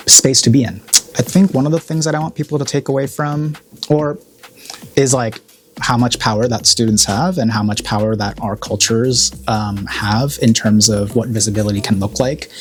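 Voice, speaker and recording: A man who was born in Korea, a college program director, speaking earnestly and reflectively in an interview with many fillers. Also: fairly low pitch. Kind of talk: interview talking about an event.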